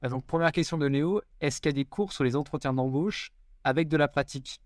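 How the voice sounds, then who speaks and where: A man hosts a podcast interview, asking questions in a relaxed, friendly way with a few fillers. His voice is mid-pitched and the sound is dry. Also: fast talker, no echo. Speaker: podcast host.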